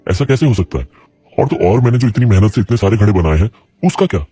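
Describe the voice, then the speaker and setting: A man's voice: fairly low pitch, expressive, fast talker, warm tone, slightly rough. A man voicing a poor, worried village potter in a cartoon story. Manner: worried, plain-spoken. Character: poor struggling middle-aged male potter. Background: faint music.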